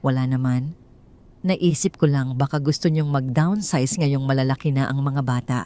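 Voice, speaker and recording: A woman's voice: very deep voice, normal speed, mellow, pitched below the performer's own voice. A woman voicing a glamorous, scheming mistress in an audio drama, falsely friendly, with a sugary, casually probing manner.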